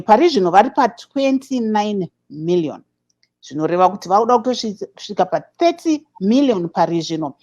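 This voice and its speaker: A woman presenter reading a news update in a steady, measured way with small hesitations. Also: mid-range voice. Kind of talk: news report. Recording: dull lo-fi sound.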